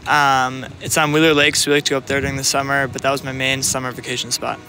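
A man's voice: medium pitch, level tone, quick pace, pushed and bright, slight background noise, rough recording. A young man from Wisconsin answering casually with many fillers, outdoors. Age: young adult. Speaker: interviewee. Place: outdoors by government building.

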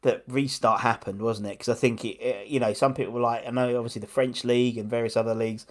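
A man's voice: medium pitch, level tone, fast. A man hosting a casual video-call chat from home, rambling with lots of restarts. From England. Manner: rambling, chatty, many restarts. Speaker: host. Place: home room lit pink. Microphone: wired earphones on video call.

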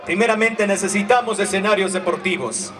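A man's voice: sits high, brisk, far from the mic. A man with an Ecuadorian accent delivering a formal public speech. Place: outdoor sports ground.

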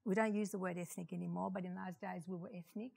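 An older woman, speaking as a panel guest in a reflective, measured way, recorded on a lapel mic in a large indoor atrium. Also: fairly low pitch, steady tone, vocal fry, a little mellow, husky. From Greece. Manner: reflective, measured, gestures while talking. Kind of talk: panel discussion answer.

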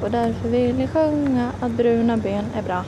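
A woman's voice: a little high, background noise. A young woman from Sweden vlogging casually to a handheld action camera, chatty and upbeat. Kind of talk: casual vlog chat to camera. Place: outdoors in a busy amusement park and town streets. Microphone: action camera held at arm's length. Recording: loud crowd and music behind. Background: loud music and crowd.

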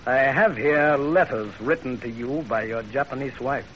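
A stern Chinese general acted by a man in a 1930s radio play, mid-pitched and deliberate. He probes and commands with authority, on a dull recording with faint hiss. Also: fairly bright tone, close to an everyday voice. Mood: stern, commanding. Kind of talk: 1930s radio drama acting.

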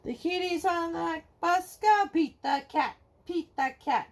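A middle-aged woman reading a children's picture book aloud to kids at home, slowly singing the verses in a sing-song way. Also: very high voice. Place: home living room, indoors.

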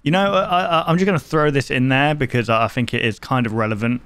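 A man hosting a reaction video, chatting and reacting aloud in an excitable, casual way. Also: mid-range voice, fast, clear, resonant tone, dry recording, faint music behind. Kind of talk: reaction commentary.

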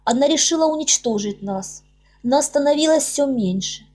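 A woman's voice: high-pitched, expressive, moderate pace, a little mellow. A woman voicing a sorrowful young male elf in an audiobook, telling things in a pained, earnest way. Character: sorrowful young male elf recounting his people's plight. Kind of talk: audiobook character reading. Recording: music under the voice.

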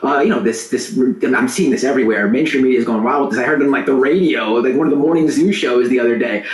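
A man talking as a guest on a casual panel, halting and repeating words. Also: mid-range voice, reverberant.

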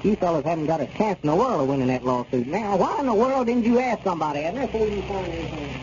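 An actor playing an exasperated, sensible country shopkeeper in a 1930s radio comedy, explaining and scolding. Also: male voice, high voice, lively intonation, fast. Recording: muffled, noisy 1930s radio recording.

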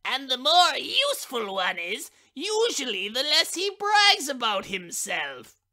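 A woman's voice: sits high, wildly swooping pitch, slow, pushed and bright, pitched above the performer's own voice, exaggerated voice. A woman voicing a sensible matchbox. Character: sensible plain matchbox on a shelf.

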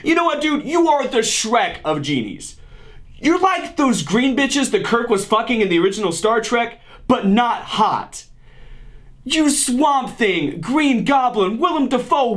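A young man hosting a comedy sketch, ranting with exasperated sarcasm at a desk at home. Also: very high voice. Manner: exasperated, sarcastic, animated rants. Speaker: YouTuber host. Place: home room with posters, indoors.

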